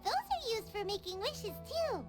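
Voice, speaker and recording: A woman voicing a small, excitable, childlike fairy companion in a video game, cheerful and chatty, with exclamatory delivery. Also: extremely high pitch, a little slow, exaggerated voice. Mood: excited, cheerful. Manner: refers to self in third person, exclamatory. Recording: loud music behind the voice.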